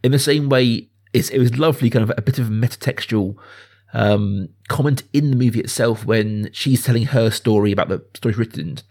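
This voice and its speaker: A man's voice: mid-range voice, a little fast, a little mellow. A man hosting a podcast in a casual, chatty way, discussing film informally with asides to the listeners. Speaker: podcast host.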